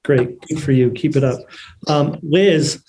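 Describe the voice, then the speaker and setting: A man with a mellow, slightly high voice for a man. He moderates a panel casually, handing questions to the guests. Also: measured pace, poor audio.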